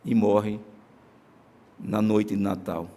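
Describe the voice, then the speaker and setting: A male pastor with a Brazilian accent preaching a sermon in a church, earnest, moving between emphatic declarations and soft, slow solemn passages. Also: fairly low pitch, little pitch movement. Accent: Brazilian Portuguese. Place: church sanctuary during a live-streamed service.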